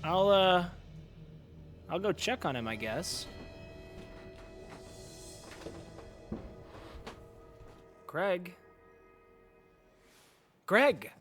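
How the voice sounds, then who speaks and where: An actor as a concerned, curious friend, lively pitch. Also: very slow, soft background music. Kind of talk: audio drama acting.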